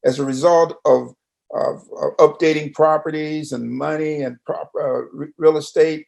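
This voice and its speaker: A man's voice: fairly high pitch, steady tone, normal speed, vocal fry, a little mellow. An American man hosting a conversational radio interview, with a folksy, roundabout, questioning way of talking.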